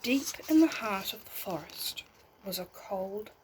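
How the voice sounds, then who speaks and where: A woman reading a bedtime story aloud in an expressive way, as if talking to young listeners. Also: medium pitch, a little slow, background noise. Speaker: storybook reader.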